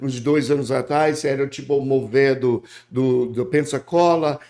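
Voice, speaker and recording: Man speaking halting Portuguese. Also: mid-range voice, steady tone, a little mellow. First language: English. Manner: halting, restarts, repeats words. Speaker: guest preacher. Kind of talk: casual interview chat.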